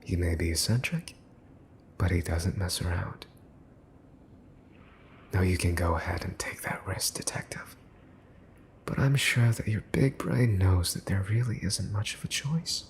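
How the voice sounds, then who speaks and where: Man playing a slow-talking, smug young mafia heir. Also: low-pitched, slight background noise. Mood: teasing menace. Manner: teasing, smooth-talking, condescending, pausing for effect. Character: smug teasing mafia boss's son, possessive, coolly threatening. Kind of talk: ASMR boyfriend roleplay, scripted monologue to listener.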